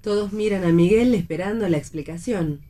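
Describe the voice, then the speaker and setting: A woman's voice: mid-range voice, brisk, dark tone. A woman reading stage directions as scripted narration for radio theatre.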